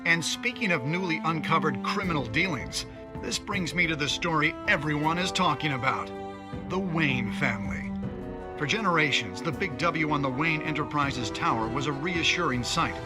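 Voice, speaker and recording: An actor playing an opinionated TV news commentator, delivering scripted reports in a pointed, wry, dramatic manner. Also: male voice, fairly high pitch, lively intonation, normal speed, over loud music, close to an everyday voice. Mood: grave, pointed. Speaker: news presenter.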